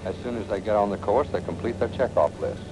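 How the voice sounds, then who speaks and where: A man's voice: on the low side, fast, noisy background. An American man narrating a 1940s military training film in a punchy, colloquial, dramatic storytelling manner. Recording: 1940s film soundtrack with music.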